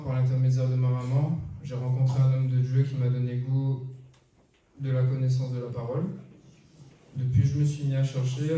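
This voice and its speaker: A man's voice: mid-range voice, mellow, a little hiss or hum. A man in his thirties from Réunion, reading his baptism testimony in a church. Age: 30s. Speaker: baptism candidate. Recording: echoey church hall from far off.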